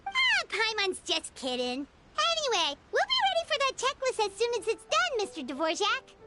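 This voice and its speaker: A tiny floating fairy girl in a video game, with an extremely high, childlike voice. She is excitable, bossy and cheeky, swinging widely in pitch. Prominent music plays behind her. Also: normal speed, exaggerated voice. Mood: chirpy. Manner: childlike, exclamatory, speaks of self in third person.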